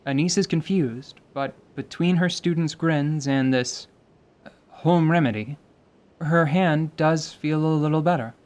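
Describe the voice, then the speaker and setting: A man's voice: a little high, unhurried, a little mellow. A man reading scripted dramatic narration for a fantasy tabletop story told as radio theatre.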